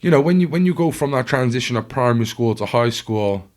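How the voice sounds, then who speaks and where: Young man, South Welsh accent, podcast guest, rambling storyteller, lots of fillers and restarts, mid-pitched voice. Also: lively intonation, quick pace, a little mellow. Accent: South Wales. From Wales. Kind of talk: casual storytelling chat.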